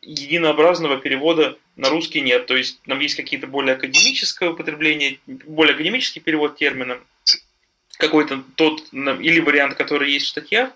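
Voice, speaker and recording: A man chatting casually on a panel, talking in a halting way with lots of fillers and restarts. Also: on the high side, sharp tone, low-quality recording. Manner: hesitant, many fillers and restarts. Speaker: podcast guest, software developer.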